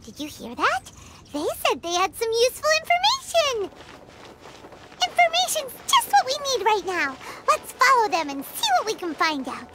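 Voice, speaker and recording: A woman voicing a tiny, excitable childlike fairy companion in a video game, squeaky and perky. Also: moderate pace, very noisy. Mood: eager. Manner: perky, exaggerated. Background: background music.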